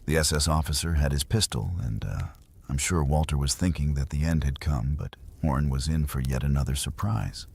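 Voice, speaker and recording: A man narrating history in an unhurried, conversational way, as if explaining to a listener. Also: a bass voice, creaky, darkish tone, breathy edge. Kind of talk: documentary history storytelling.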